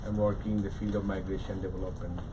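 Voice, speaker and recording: A man's voice: deep voice, little pitch movement, fast. A man with a Bangladeshi accent, an activist for migrants' rights, speaking hesitantly with many fillers at a conference. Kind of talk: conference remarks. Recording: distant mic with background noise.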